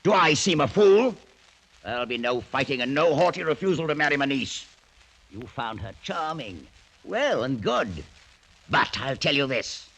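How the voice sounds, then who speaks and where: A man's voice: mid-range voice, very animated, moderate pace, a bit bright. An actor playing a ruthless, imperious ageing French nobleman in a theatrical, mocking, commanding old-time radio drama style. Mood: scornful command. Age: older. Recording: noisy vintage radio drama recording.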